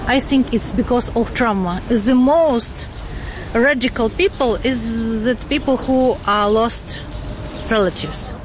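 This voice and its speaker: A Ukrainian-accented woman, native in Russian, speaks halting English very slowly. Her voice is fairly high, with street and car noise clearly behind her. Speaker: photographer. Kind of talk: interview clip. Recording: noisy outdoor street with cars.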